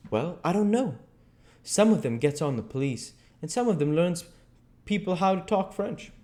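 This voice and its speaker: Man voicing a high-pitched, earnest Southern boy. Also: expressive, normal speed, darkish tone. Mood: explaining. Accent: American South. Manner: earnest, persuasive, lilting questioning. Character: earnest, know-it-all uneducated Southern boy. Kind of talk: audiobook character dialogue.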